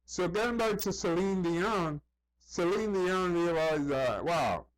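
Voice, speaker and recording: A man's voice: high voice, animated, takes it slowly, poor audio. A man talking in a casual, rambling monologue, repeating himself, saying "you know" often and acting out other people's remarks as he tells anecdotes. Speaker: vlogger.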